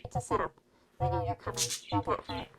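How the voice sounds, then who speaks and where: A woman's voice: fast, close to an everyday voice. A woman voicing a cheeky, teasing young male vigilante in an informal, needling way, for an audio story. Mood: worried urging. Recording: very noisy amateur recording, birdlike chirps.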